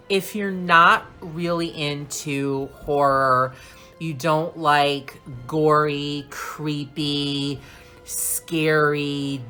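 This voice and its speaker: A woman hosting a craft channel, chatting casually and rambling a little, slightly hoarse and clearing her throat now and then. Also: low-pitched, sharp tone. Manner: chatty, rambling, slow and deliberate. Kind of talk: casual vlog talk.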